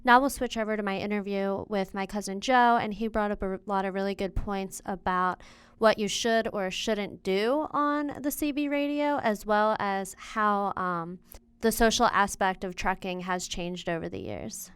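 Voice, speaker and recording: A woman's voice: mid-range voice, normal speed, clear, resonant tone, dry recording. A woman hosting a podcast, talking in an informal, chatty way as she does a casual interview. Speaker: podcast host interviewing relatives.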